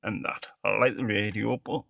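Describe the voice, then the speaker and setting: Male hobbyist presenter, casual demo. Creaky, bright-edged voice, matter-of-fact and halting delivery. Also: fairly low pitch, steady tone, dry recording, highs cut off. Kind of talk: casual product demonstration.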